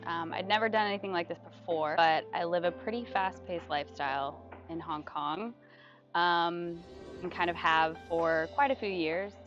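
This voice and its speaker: A young woman in a casual testimonial interview, chatty, with fillers and soft laughs. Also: fairly low pitch, normal speed, highs cut off. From United States. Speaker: retreat guest giving a testimonial. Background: background music.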